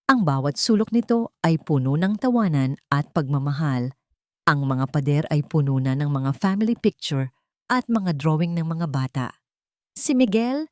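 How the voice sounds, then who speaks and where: A woman narrating a scripted story in an earnest, sentimental, measured way. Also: on the low side, a little mellow, no echo, clean studio sound.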